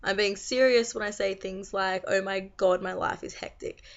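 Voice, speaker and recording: A young Australian woman with a croaky voice from being sick, chatting to camera in a tired, rambling, venting way. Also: mid-range voice, fairly even intonation, normal speed. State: croaky from a long cold. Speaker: vlogger. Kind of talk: casual chat to camera. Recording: slightly dull lo-fi recording.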